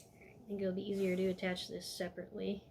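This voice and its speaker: A woman walking viewers step by step through a toy building set in a calm, instructive way, a little hoarse from losing her voice. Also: mid-range voice, brisk, a little hiss or hum.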